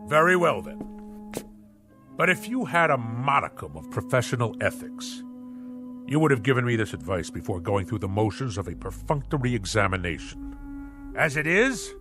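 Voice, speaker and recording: An actor playing a pompous, irascible middle-aged boss in a radio drama, blustering in nautical turns of phrase like a sea captain. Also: male voice, mid-range voice, very animated, moderate pace, lightly acted. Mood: indignant, pompous. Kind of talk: theatrical radio drama acting. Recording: radio drama with music underneath.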